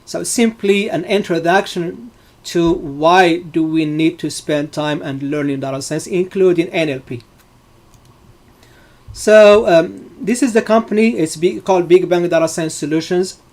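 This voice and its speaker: A middle-aged man from Morocco, his voice sitting a little high, teaches a live online class. He speaks in loose, halting phrases padded with fillers as he explains each point to his students. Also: darkish tone. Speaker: data science instructor.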